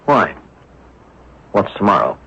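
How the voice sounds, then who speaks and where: A skeptical old-radio police inspector, really husky n low, swings up and down, grumbly, muffled sound. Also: male voice, sing-song, slow, sharp tone, heavily acted. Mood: grumbling, surprised. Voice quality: gravelly, husky. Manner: hesitant, muttering, exclaiming. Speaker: actor in a radio mystery drama. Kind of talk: radio drama acting. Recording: dull lo-fi radio broadcast.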